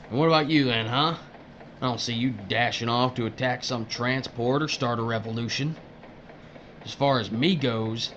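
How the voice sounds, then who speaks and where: A man's voice: medium pitch, a bit bright, natural voice. Cynical older male scavenger, played by an actor, folksy and needling; dull, noisy recording with ambience. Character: crude, leering, cynical older male corpse-stripping worker called Jimmy. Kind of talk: audio drama acting.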